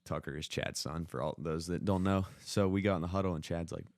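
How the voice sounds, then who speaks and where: A man's voice: low voice, fairly even intonation, quick pace, a little mellow, no room sound, studio quality. A young American man telling sports stories in a casual, slangy way, often quoting what others said. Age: young adult. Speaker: podcast co-host and former high school baseball player.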